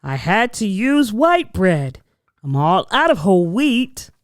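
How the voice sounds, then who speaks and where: A woman's voice: medium pitch, sing-song, takes it slowly, no echo, clean studio sound. A woman voicing a flustered, grumpy sandwich shop man with groaning, exasperated delivery in a children's storybook. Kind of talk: children's storybook read-aloud.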